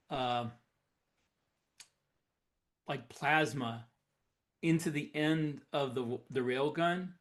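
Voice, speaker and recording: Male podcast co-host, slightly high pitch, enthusiastic explainer, informal conversation. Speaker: podcast co-host, science fiction author. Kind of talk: casual hobbyist chat about sci-fi weapons.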